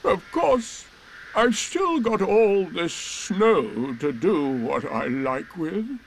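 A man voicing a gloomy, melancholy toy donkey in a storybook, with mournful, drawn-out, resigned speech. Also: high voice, sing-song, slight background noise, voice pushed up, heavily acted, poor audio. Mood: resigned complaint.

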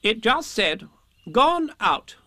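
A man voicing a brisk, self-important rabbit in a storybook, with a curt and impatient manner. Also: high voice, big pitch swings, pushed and bright, dry recording, studio quality, pitched above the performer's own voice, heavily acted. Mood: solemn, impatient. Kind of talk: storybook character reading.

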